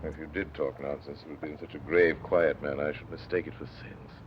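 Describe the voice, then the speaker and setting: A man's voice: very deep voice, fairly even intonation, a little fast, slight background noise. A man playing a stern, brusque master of a manor in a classic radio drama, imperious and mocking. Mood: imperious, probing. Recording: muffled old radio play recording.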